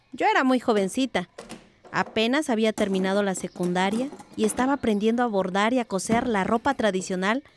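A woman's voice: fairly high pitch, darkish tone, a little hiss or hum, natural voice. A woman playing a thoughtful, determined middle-aged village aunt in a radio drama, speaking earnestly and reflectively.

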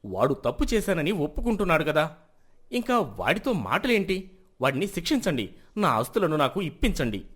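An indignant wealthy merchant from a village moral tale, acted out by a man. His pitch is high and swings widely. He complains and insists impatiently. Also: sing-song, a little fast, a bit bright, breathy edge, heavily acted. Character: indignant wealthy village merchant demanding punishment, hiding guilt. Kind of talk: dramatised moral story acting.

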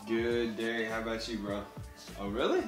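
A man's voice: fairly low pitch, fairly even intonation, normal speed, over music. A young adult man chatting casually to camera as a vlogger, upbeat and slangy, in short punchy phrases. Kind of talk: casual vlog chat to camera. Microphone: camera at arm's length or on table.

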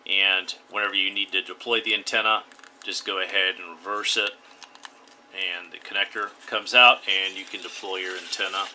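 Host, male, deep bright voice, plain step-by-step tutorial delivery, slight hiss behind. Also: little pitch movement, normal speed, rough recording. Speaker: radio hobby channel host. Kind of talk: DIY tutorial voice-over.

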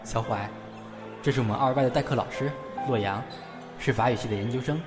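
A man plays a frank, protective student friend in an audio drama. His mid-pitched voice rings with long reverb under loud music. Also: brisk, close to an everyday voice. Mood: concerned, blunt. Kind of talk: Chinese audio drama acting.

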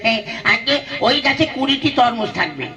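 A man's voice: extremely high pitch, animated, moderate pace, recorded from a distance, crowd noise. A man voicing a cheeky, precocious schoolboy puppet in a comic show, giggly and mock-polite. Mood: mock-innocent. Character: cheeky precocious sixth-grade schoolboy puppet, smug wisecracker.

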